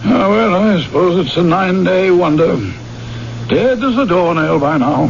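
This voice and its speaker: An actor playing a stuffy, flustered gentleman in a 1970s radio drama, hesitant and fussy. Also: high-pitched, normal speed, vocal fry, loud music behind the voice. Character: stuffy, flustered male gentleman fishing nervously for news. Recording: muffled, noisy old radio recording.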